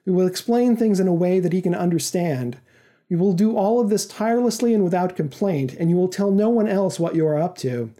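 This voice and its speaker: Story villain's agent, read by a man: stern, formal, precise, high male voice, very soft-toned. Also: fairly quick, lightly acted. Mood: stern, commanding. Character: commanding, secretive male intermediary making a job offer. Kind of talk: audiobook-like story reading.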